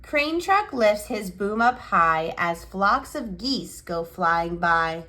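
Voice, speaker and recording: A woman reading a picture book aloud for young children in an expressive, cheerful and measured storytelling manner. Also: medium pitch. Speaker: children's picture book reader and channel host.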